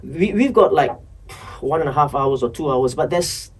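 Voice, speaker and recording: A man's voice: on the high side, animated, normal speed, husky, recorded from a distance. A man hosting a podcast, with a Singaporean English accent, asking eager questions and often repeating words. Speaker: podcast host. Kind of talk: casual interview.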